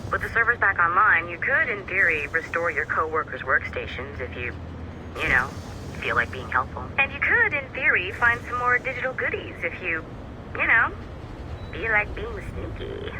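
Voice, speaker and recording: An actor voicing a nervy, sly office tech guy in a video game, with halting, insinuating asides. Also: high-pitched, sing-song, noisy background. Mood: sly, coaxing.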